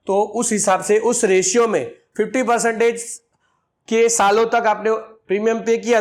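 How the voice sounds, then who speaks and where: A man in his forties, a financial advisor, explaining an insurance plan to viewers step by step and calling them friends. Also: high voice. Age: 40s. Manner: addresses viewers as friends, works through figures step by step.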